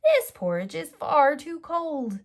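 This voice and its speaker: A woman's voice: high voice, huge pitch swings, pitched above the performer's own voice, heavily acted. A woman voicing a curious, picky little girl who exclaims in a children's storybook. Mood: picky, grumbly. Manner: drawn-out, exclaiming complaints.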